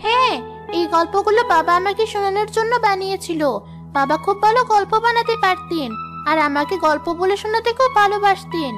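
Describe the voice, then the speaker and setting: A woman's voice: very high voice, fairly quick. A woman voicing an earnest, caring young girl, a big sister in a children's cartoon. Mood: grateful, curious. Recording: music behind the voice. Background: music.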